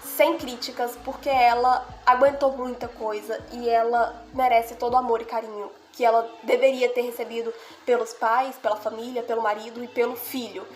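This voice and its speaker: A woman's voice: a little high, level tone, normal speed, hardly any pauses, soft background music. Nasal young woman with a cold. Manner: earnest, heartfelt, repeats "né?" and "sabe?". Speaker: video creator analyzing anime. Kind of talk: casual analysis monologue.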